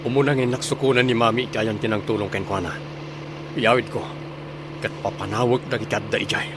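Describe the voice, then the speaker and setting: A man's voice: on the low side, steady tone, quick pace, close to an everyday voice. A man playing a concerned adult son returning home to his mother in a radio drama. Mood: resolute. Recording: vehicle noise behind voice.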